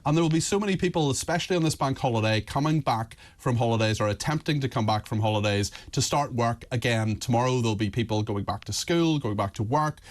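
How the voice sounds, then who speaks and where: Brisk male news presenter, mid-pitched. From United Kingdom. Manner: brisk, conversational questioning. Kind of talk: live studio interview.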